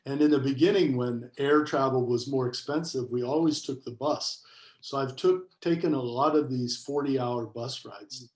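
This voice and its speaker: Priest telling stories in an echoey room. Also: male voice, medium pitch, normal speed. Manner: relaxed anecdotal storytelling. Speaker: missionary priest, guest. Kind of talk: casual conversation on a video call. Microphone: video call.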